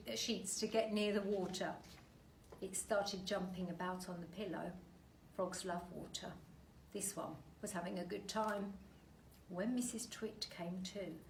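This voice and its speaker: A woman reading a children's story aloud like a teacher, expressively and with deliberate, dramatic emphasis. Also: medium pitch. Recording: roomy echo, distant mic, faint noise.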